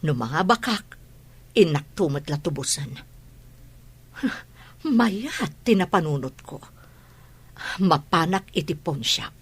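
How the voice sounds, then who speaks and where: Woman acting slow, husky troubled wife. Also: deep voice, animated, exaggerated voice. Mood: brooding, resolved. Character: troubled wife musing secretly to herself. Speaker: voice actor in an audio drama. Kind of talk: slow dramatic monologue.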